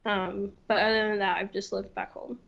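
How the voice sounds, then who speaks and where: A woman's voice: medium pitch, level tone, normal speed, vocal fry. A young woman from Puerto Rico whose first language is Spanish, a guest art student chatting casually with lots of "like" and "right?". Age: young adult. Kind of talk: casual podcast chat. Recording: slightly dull lo-fi sound.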